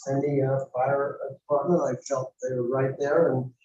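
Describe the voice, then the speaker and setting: A man's voice: mid-range voice, flat delivery, normal speed, highs cut off, background noise. A man, a former commissioner, making a casual, appreciative public comment with a few fillers. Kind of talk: public comment at city meeting. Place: city commission chamber. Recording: distant echoey hall mic.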